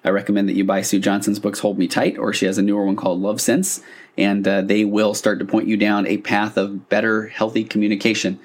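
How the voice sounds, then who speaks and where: Guy with a lowish voice doing a casual podcast monologue, explaining stuff conversationally. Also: flat delivery, brisk. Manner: conversational, acting out example dialogue lines. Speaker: podcast host.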